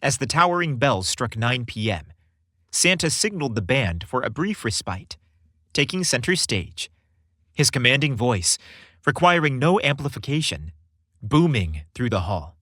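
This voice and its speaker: A man reads scripted stories as a podcast host. His delivery is theatrical and highly animated, with wide swings of pitch. The recording is close and dry. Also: moderate pace, dry recording. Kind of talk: scripted storytelling narration.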